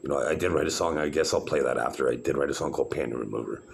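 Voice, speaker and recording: A man's voice: a bass voice, racing, creaky, background noise. A middle-aged man rambling to the camera in a casual vlog, meandering with many fillers and restarts. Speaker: vlogger showing his own videos.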